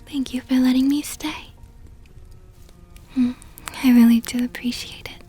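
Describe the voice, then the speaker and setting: A woman voicing a possessive, doting vampire countess in an intimate ASMR roleplay, whispering softly and slowly with a tender, teasing, coaxing manner. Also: sits high, fairly even intonation, soft background music, exaggerated voice. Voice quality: breathy, soft whisper. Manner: slow, coaxing, affectionate murmur.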